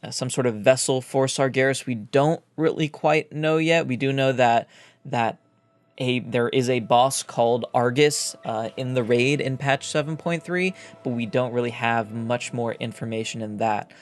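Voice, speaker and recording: A man's voice: mid-range voice, steady tone, moderate pace, no echo. A man giving casual, explanatory commentary as a gaming video host, feeling his way through his phrases with some repetition. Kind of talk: casual game lore commentary.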